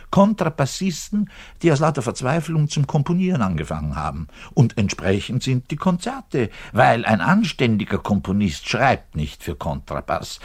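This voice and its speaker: An actor playing a frustrated, self-pitying orchestra double bassist in a radio monologue, with a southern German accent and a colloquial, ranting manner. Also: male voice, husky. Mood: exasperated complaining. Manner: ranting, colloquial, swinging from outbursts to muttered resignation. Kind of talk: German radio play monologue, addressed to the listener.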